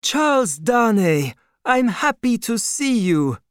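A man's voice: extremely high pitch, big pitch swings, slow, clear, resonant tone, no room sound, clean studio sound, voice pushed up, full character voice. A man voicing an aging, fretful old doctor. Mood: warm welcome. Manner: pleading, emotional. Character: aging gentle male doctor, anxious and fatherly.

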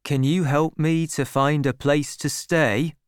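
A woman's voice: deep voice, steady tone, takes it slowly, clean tone, dry recording, studio quality. A woman voicing a polite, earnest, kindly young male shepherd in a storybook reading.

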